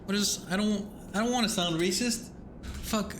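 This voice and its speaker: A man's voice: sits high, normal speed, noisy background. A man streaming a game, reading the text aloud and chatting in a rambling, self-mocking way, with some swearing.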